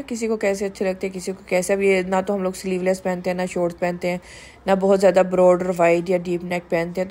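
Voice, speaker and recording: A woman's voice: fairly low pitch, mellow. A woman, giving candid, chatty voice-over narration for her shopping vlog and words into her speech. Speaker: vlogger.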